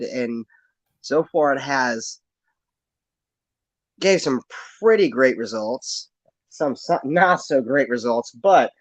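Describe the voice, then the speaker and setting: A man from the United States with a rural American accent, hosting a casual interview in a folksy, unhurried way. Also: mid-range voice, dry recording, rough recording. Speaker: show host.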